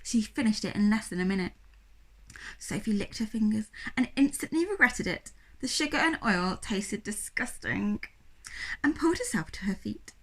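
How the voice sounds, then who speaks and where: A woman reading a children's story aloud like a primary school teacher, with expressive, dramatic narration. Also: medium pitch, normal speed, poor audio.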